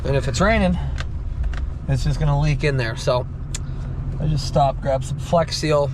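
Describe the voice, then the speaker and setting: A man's voice: on the high side, normal speed. A man hosting a farming vlog, chatting casually and thinking aloud in a folksy, rambling way as he works. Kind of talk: casual chat to camera while working. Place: outdoors and around vehicles. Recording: outdoor noise, engine and vehicle sounds.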